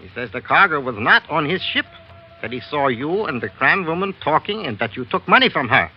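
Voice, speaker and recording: An actor playing a pudgy, officious island regent in an old-time radio drama, with stiff, foreign-style phrasing. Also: male voice, a little high, very animated, fairly quick, slightly rough, faint music behind. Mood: officious, accusing. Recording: dull vintage radio recording, faint noise.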